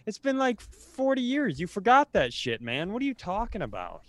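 A man's voice: high voice, huge pitch swings, moderate pace, dry recording. An American man bantering casually as a comedy podcast host, in a sardonic and exasperated manner.

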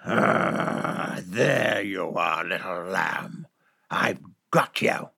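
A storyteller putting on a gruff, hungry wolf from a bedtime storybook, his voice sunk low and stretched out slowly. He sounds close and dry, with no echo of a room around him. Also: animated, bright, forceful tone, heavily acted. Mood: menacing. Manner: low, growly and drawn out. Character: hungry, gruff male wolf, slow-witted.

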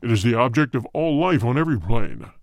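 A man voicing a grave spirit of the dead in a storybook, speaking in a deep, hard, cold voice with formal, measured phrasing. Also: sing-song, darkish tone, dry recording. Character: solemn spirit of the dead speaking through a medium.

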